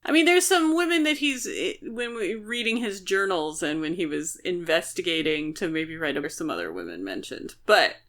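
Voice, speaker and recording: Bright-voiced woman chatting about books. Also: on the low side, animated, normal speed, few pauses. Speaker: podcast co-host. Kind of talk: casual book discussion, explaining plot.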